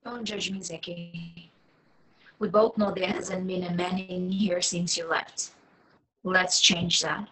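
A woman's voice: on the low side, steady tone, slow, fairly bright tone, soft background music, heavily acted, low-quality recording. A woman reading the part of a wary, lonely, sardonic online dater in her late twenties, hesitant and wry, in a film table read. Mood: wry, dismissive. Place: small room at home, video call. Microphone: computer mic on a video call.

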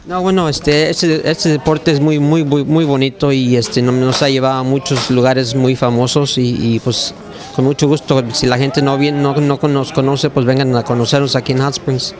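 Middle-aged jockey, medium pitch, halting interview answers, handheld mic indoors. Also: male voice, level tone. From Mexico. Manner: hesitant, many fillers and restarts. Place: racetrack clubhouse indoors. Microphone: handheld mic held by interviewer.

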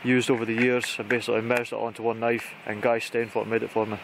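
Casting: British male outdoor vlogger, mid-pitched voice, informal and chatty, talking through kit in a noisy outdoor recording. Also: monotone, fast talker. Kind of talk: casual gear walkthrough to camera. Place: outdoors in conifer woodland. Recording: outdoor recording with clear background noise.

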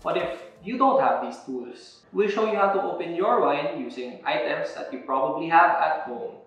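A man's voice: a little high, lively intonation, moderate pace, fairly bright tone, faint music behind. A young man explaining step by step how to open a wine bottle, in an instructional demo recorded indoors. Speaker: wine presenter. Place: indoor room with a table. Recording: very echoey room.

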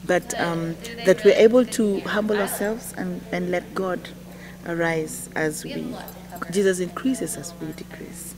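A woman's voice: fairly low pitch, fairly even intonation, measured pace. A middle-aged woman with a Kenyan accent giving an emphatic on-camera testimonial. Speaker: music teacher and worship singer. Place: large church auditorium. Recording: music playing behind the voice. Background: music.

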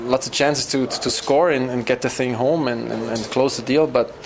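A young man, a team captain, giving a halting post-game interview in an arena corridor. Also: mid-range voice, fairly even intonation, gravelly, noisy background. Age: young adult. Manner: halting, repeating words as he searches. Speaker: ice hockey team captain. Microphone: handheld interview mic.

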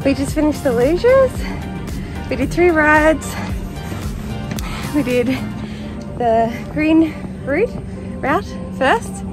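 Cheerful high-voiced woman in her thirties, slow-paced outdoor phone vlog over music. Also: big pitch swings. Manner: cheerful, laughs often. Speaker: travel vlogger. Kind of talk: casual travel vlog chat. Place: outdoors by a lake. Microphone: phone at arm's length. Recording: music playing behind the voice.

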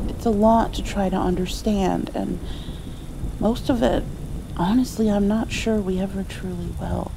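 A woman playing a caring, playful mother in an intimate ASMR roleplay, soothing her grown child with gentle, halting speech and endearments. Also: mid-range voice, vocal fry, background noise. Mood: tender, soothing. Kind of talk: intimate comfort ASMR roleplay, acted as one side of a conversation.

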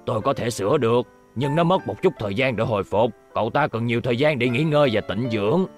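Man as grave, measured older scientist. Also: medium pitch, animated, soft background music, lightly acted. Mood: calm, grave. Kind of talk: dubbed cartoon acting.

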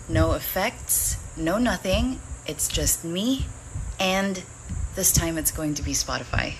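Casting: young woman, lowish voice, casual creator chat on a phone indoors, slight room noise. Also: a bit bright, low-quality recording. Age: young adult. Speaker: voice-over artist and video creator. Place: small home room indoors.

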